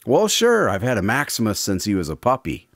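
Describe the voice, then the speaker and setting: A man's voice: fairly low pitch, wildly swooping pitch, brisk, fairly bright tone, no echo. A man hosting a radio drama, chatting with the main character in a friendly, conversational way. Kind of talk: scripted host chat.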